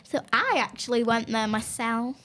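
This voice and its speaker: A woman's voice: fairly high pitch, moderate pace, sharp tone. A girl hosting a home podcast in casual chat with friends, bossy and giggly. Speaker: podcast host. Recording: faint background noise.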